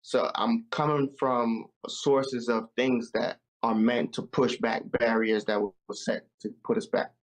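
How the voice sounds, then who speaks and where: A man's voice: mid-range voice, flat delivery, unhurried, few pauses, rough recording. A young man born in Jamaica and raised in America, a musician guest talking over a video call in a loose, reflective way.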